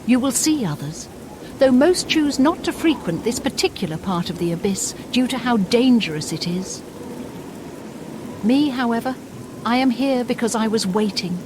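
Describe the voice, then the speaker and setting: A woman's voice: on the high side, background noise. A woman voicing a bitter, damned female spirit in a fantasy game, speaking in a solemn, theatrical and formal way. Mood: grave, scornful, then brooding, resentful. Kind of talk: dramatic fantasy game dialogue.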